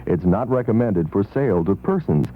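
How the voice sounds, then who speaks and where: A man's voice: fairly low pitch, animated, normal speed, background noise. A man reading a scripted 1970s radio commercial as an announcer. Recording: husky-sounding, narrow old radio recording.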